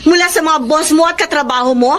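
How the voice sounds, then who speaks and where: A woman's voice: sits high, fairly quick, a little hiss or hum, lightly acted. An actress with a Filipino accent playing a hurt, devoted young girlfriend in an emotional radio drama. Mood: reproachful.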